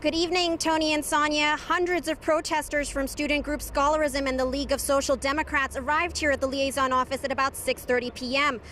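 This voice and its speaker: A woman's voice: high voice, little pitch movement, a little fast, hardly any pauses, a bit bright. A woman delivering a news report in a scripted, on-the-scene style, as a reporter. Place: outdoors on a busy street at a protest. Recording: clear street noise behind the voice.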